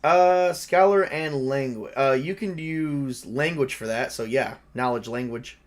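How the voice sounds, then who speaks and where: A man's voice: medium pitch, measured pace. Man running a tabletop roleplaying game. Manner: casual, conversational, off-the-cuff. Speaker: game master.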